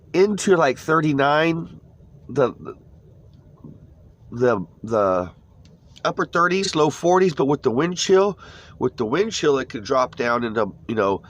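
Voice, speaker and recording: Stuffy, laid-back man chatting. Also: mid-range voice. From United States. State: stuffy nose. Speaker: live stream host. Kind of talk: casual morning chat. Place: inside an old camper van. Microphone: phone.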